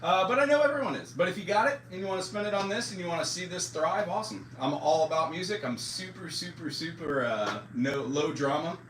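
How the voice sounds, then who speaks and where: A man's voice: high-pitched, expressive, a little fast, hardly any pauses, a bit bright, a little hiss or hum. Man in his forties with a roomy, distant sound. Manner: loose and self-deprecating, with many fillers. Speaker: music teacher streaming live. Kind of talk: casual live-stream chat. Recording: roomy echo, distant mic, music underneath.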